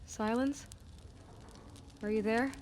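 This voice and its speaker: A woman's voice: a little high, fairly even intonation, slow pace, slight background noise. An actress playing a brave young woman warrior in a video game, brooding and resolute. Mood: troubled, lonely. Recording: loud game music underneath. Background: music.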